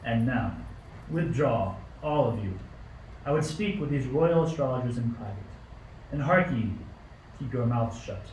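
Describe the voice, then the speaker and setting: A man's voice: medium pitch, animated, a little slow, crowd noise, close to an everyday voice. An amateur actor playing a stern, suspicious tyrant king in a radio play, with an imperious, declamatory delivery. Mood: measured, scheming. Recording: roomy, distant mic, faint noise.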